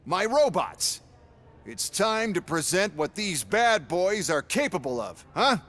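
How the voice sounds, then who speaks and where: A man's voice: high-pitched, sing-song, slow, fairly bright tone, exaggerated voice. An actor playing a brash, irritable robot salesman in a video game, sarcastic, dismissive and impatient. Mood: showy.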